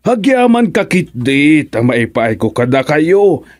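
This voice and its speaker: A man acting in a Filipino radio serial as a wealthy man proud of his hard-earned riches, with a Filipino accent and a drawn-out, emphatic delivery. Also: a little high, big pitch swings, no echo, studio quality. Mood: defiant, proud.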